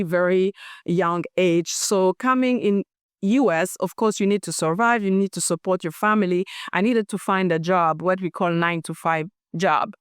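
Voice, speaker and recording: Woman, company founder, earnest storyteller; smooth, dry close sound. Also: fairly low pitch, no echo. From Democratic Republic of the Congo. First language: Chiluba. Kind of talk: podcast interview.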